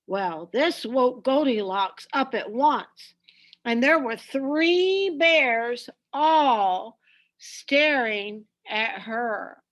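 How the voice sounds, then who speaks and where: Female storybook narrator: high-pitched, unhurried, expressive, deliberate phrasing for children.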